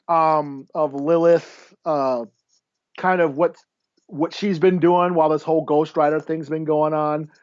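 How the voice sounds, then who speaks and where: A man co-hosting a podcast, chatting through a recap in a halting, offhand, mildly profane way. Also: on the high side, darkish tone. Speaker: podcast co-host reviewing comic books. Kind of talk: casual chat recap. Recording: dull, lo-fi audio.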